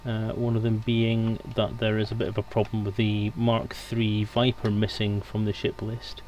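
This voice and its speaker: A man's voice: lowish voice, completely flat delivery, moderate pace, darkish tone, slight background noise. A man hosting a live stream in casual, unscripted, rambling chat.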